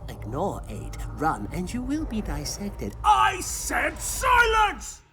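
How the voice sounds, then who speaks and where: A menacing alien madman who lurches wildly in pitch between quarrelling personalities. He is imperious and deranged, with dramatic music underneath. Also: wildly swooping pitch, slow pace, heavily acted. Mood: threatening. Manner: imperious, menacing, abruptly switching between snarls and suave talk. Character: deranged alien Time Lord villain with many quarrelling personalities. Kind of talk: audio drama acting. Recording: music behind.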